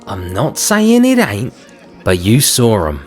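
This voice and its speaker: A man's voice: fairly low pitch, huge pitch swings, takes it slowly, a little mellow, faint music behind, heavily acted. A man voicing a skeptical, protective crocodile in an audio drama, with a wry, colloquial way of talking. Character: worried, skeptical male crocodile, protective best friend. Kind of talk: fan audio drama acting.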